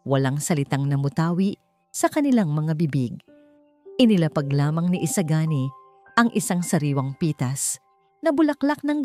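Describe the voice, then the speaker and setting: A woman reads a scripted romantic story. Her voice is low and mellow, and she phrases deliberately with dramatic pauses. Soft music plays faintly behind her. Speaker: story narrator.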